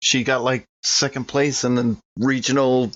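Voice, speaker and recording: A man's voice: mid-range voice, fairly even intonation, a little slow, a bit bright, muffled recording. A man playing a tabletop role-playing game, talking in a casual, conversational way.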